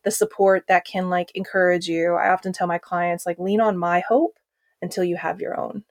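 A woman's voice: fairly low pitch, flat delivery, moderate pace, no echo, studio quality. A woman who works as a trauma healing coach, answering interview questions in an earnest, reflective way with frequent fillers.

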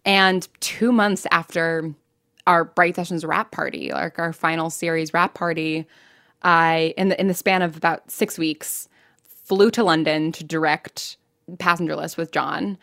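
A chatty American woman speaks as an interview guest in a slightly low voice. She tells stories casually and pokes fun at herself, recorded very dry. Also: steady tone, unhurried, creaky, fairly bright tone, no echo. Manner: chatty, self-deprecating, quotes own thoughts. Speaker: interview guest, podcast writer and producer.

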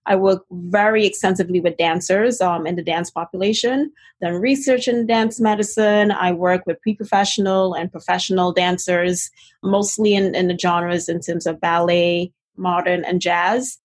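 A middle-aged woman speaks with a faint Trinidadian accent and a slightly lower, breathy-husky voice. She explains her work earnestly and articulately, with clear passion, in an interview. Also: fairly even intonation, normal speed. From Trinidad and Tobago. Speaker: physical therapist guest. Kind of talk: interview answers.

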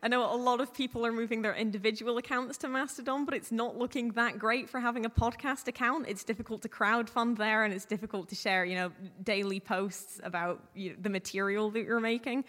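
A woman's voice: a little high, steady tone, brisk, hardly any pauses, fairly bright tone. Young woman in an echoey hall. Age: early 20s. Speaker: panel guest on an audio drama podcasting panel. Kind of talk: informal advice to a live panel audience. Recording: echoey hall with long reverb.

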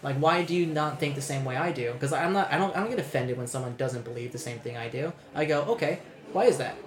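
Picture a young man hosting a casual podcast. He rambles and restarts himself a lot and swears freely. His mid-pitched voice carries a noticeable room echo. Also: fast talker. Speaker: podcast host.

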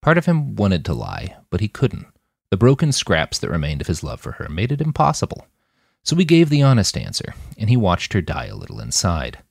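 Deep-voiced man reading fiction, very animated pitch. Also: brisk, gravelly, slightly rough, no room sound, clean studio sound. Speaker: author reading own fiction podcast. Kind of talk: expressive scripted audiobook narration.

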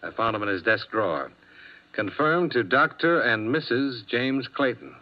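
A man's voice: mid-range voice, lively intonation, measured pace, lightly acted. An actor playing a hard-boiled male insurance investigator, who narrates and questions people in a clipped, dry way in a 1950s radio drama. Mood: probing. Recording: muffled old radio broadcast.

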